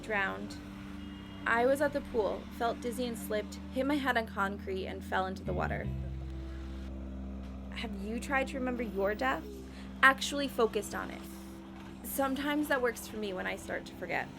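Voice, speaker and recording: Woman acting a high-voiced, blunt, defiant reaper. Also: moderate pace, gravelly, pauses often, background noise. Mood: sardonic, guarded. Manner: blunt, sardonic. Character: blunt, combative female reaper, defiant and unrepentant. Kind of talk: audio drama acting. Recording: music behind the voice.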